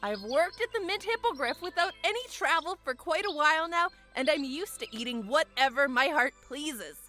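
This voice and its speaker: Woman acting high, bright, stubborn airship pilot. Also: animated, normal speed, soft background music. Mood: weary, pleading. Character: weary, stubborn female airship pilot and mechanic. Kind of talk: fantasy audio drama acting.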